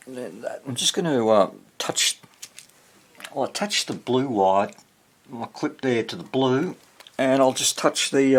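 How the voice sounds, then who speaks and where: Husky man thinking aloud, slow and halting. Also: mid-range voice. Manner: halting, muttering to himself while working. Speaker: hobbyist radio restorer, presenter. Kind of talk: casual hands-on repair narration, thinking aloud.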